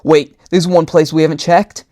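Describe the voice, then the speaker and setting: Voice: mid-range voice, little pitch movement, moderate pace, dark tone, close to an everyday voice. A storyteller voicing a panicky, snappish male agent in a comic horror story. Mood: urgent.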